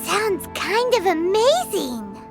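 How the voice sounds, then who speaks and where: A woman voicing a tiny, childlike female fairy companion in a video game, cute, curious and excitable. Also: slow pace, gravelly, full character voice. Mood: eager, amazed. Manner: squeaky, cute, talks in third person. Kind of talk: scripted video game dialogue. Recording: loud music behind the voice.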